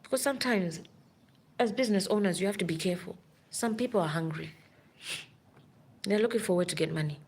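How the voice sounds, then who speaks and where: A woman's voice: mid-range voice, fast, long pauses, darkish tone, slightly rough. A woman who works as a nurse, telling a long story in a rambling, urgent way on a live stream. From Africa.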